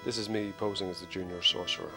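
A man in his forties giving a measured, explanatory scripted narration as an illustrator presenting his creative process. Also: low-pitched, fairly even intonation. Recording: music behind the voice. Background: prominent plucked guitar music.

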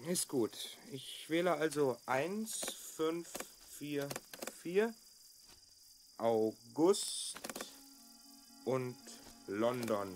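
A man's voice: medium pitch, animated, extremely slow, background noise. An actor playing a confident, eager twelve-year-old boy time traveller in a children's audio drama.

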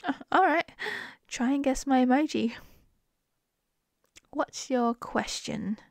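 A woman's voice: high-pitched, slow pace, gravelly, no room sound. A woman with an Australian accent in a close-mic ASMR roleplay as a wife, playful and giggly.

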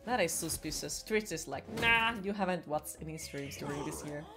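Young woman, Finnish accent, game streamer, playful chat, lively pitch, faint game music. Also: normal speed. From Finland. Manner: chatty, playful, swears casually. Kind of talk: casual chat with chat while gaming.

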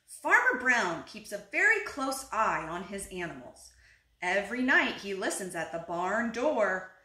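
A woman in her thirties reading a children's picture book aloud expressively, deliberately phrased, now and then putting questions to young listeners. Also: medium pitch, very animated, pushed and bright. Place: living room indoors, seated on a couch. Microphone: camera a short distance away. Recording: roomy indoor echo.

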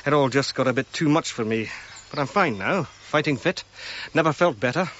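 An actor playing a keen, self-assured archaeologist in a radio drama, talking in a brisk, informal, hearty way. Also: male voice, medium pitch, expressive, fairly bright tone, highs cut off, slight background noise, natural voice. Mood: defensive, upbeat.

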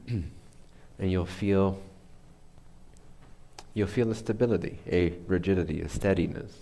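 Deep voiced guy, teaching a guided meditation, takes his time, pauses to find words. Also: mellow. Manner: unhurried, searching for words.

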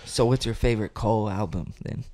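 A man's voice: fairly low pitch, brisk, dark tone. Easygoing slangy male podcast host. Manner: easygoing, slangy, eager questions. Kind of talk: casual interview chat.

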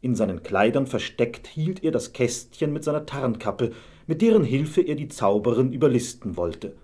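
Husky male fairy-tale storyteller. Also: mid-range voice, big pitch swings, normal speed, warm tone. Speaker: storyteller, narrator. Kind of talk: scripted fairy-tale narration.

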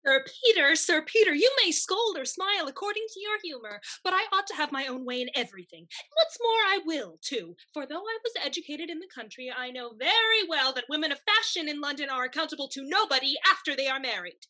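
A woman playing a pert, high-voiced young wife. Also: lively intonation, fairly quick, few pauses, sharp tone. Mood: defiant. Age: 20s. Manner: haughty, teasing, theatrical. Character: pert, defiant, fashionable young society wife. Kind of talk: period comedy stage acting over video call. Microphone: video call, at laptop distance.

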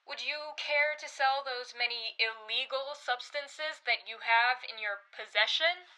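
Female gangster played by an actress, stilted and ultra-polite, high and very sharp, comic radio play. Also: measured pace, poor audio. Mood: mannered politeness. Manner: formal, wordy, mannered.

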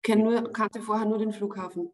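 A woman in her forties with an Austrian accent, speaking in a lively, emphatic way as a guest on a video-call interview. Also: mid-range voice, a little fast. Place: room at home. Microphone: video call webcam.

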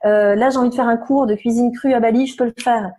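A woman in her thirties, slightly hoarse, talking frankly and animatedly as an interview guest, with many fillers. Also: on the high side, steady tone, fast talker, dark tone. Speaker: interview guest, nutrition therapist. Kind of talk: casual conversational interview.

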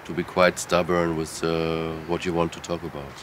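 A middle-aged man with a very deep voice speaks in an even, flat tone. He pauses and restarts as he thinks aloud. Clear outdoor noise runs behind him. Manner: thoughtful, slightly halting. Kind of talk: interview. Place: rooftop terrace, outdoors.

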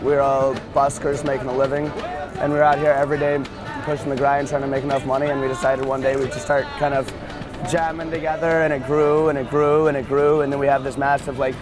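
A man's voice: medium pitch, level tone, fairly quick. A man from Texas, a busker, talking casually and ramblingly in a documentary interview. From Texas, United States. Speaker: busker in a street music collective. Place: busy outdoor city street. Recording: loud music behind, street noise. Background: music and traffic.

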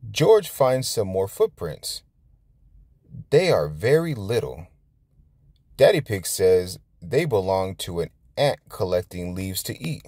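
Very deep-voiced man reading a storybook. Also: sing-song, slow, a little mellow, no room sound. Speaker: storytime channel host and reader. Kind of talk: children's storybook read-aloud.

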